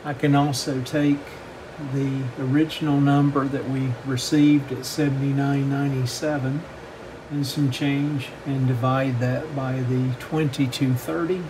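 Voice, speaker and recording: A middle-aged man giving a hands-on technical demonstration in a measured, matter-of-fact, step-by-step manner. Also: mid-range voice. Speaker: host of a radio repair channel. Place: indoor workbench. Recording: steady air-conditioning-like background hum.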